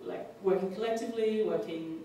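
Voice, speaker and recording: An Australian woman speaking at a panel discussion, halting often with fillers and restarts. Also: medium pitch, warm tone. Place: large public hall. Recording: echoey hall, distant mic, faint noise.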